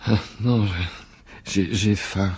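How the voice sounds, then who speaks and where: An actor playing an exhausted, erratic and obsessive married man in a French radio drama, speaking in halting, repetitive phrases. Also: lowish voice, fairly even intonation, a little mellow, breathy edge, heavily acted. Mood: halting, drained.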